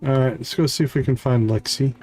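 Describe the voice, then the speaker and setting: A man's voice: medium pitch, a little fast, darkish tone, faint music behind, poor audio. A man live streaming a video game, giving casual commentary as he thinks aloud and chats to viewers.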